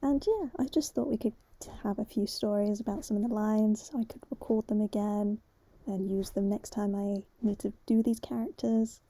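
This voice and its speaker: A woman's voice: mid-range voice, fairly even intonation, moderate pace, warm tone, no echo. A woman speaking casually and a little hesitantly as an amateur voice actor, telling unscripted stories to viewers.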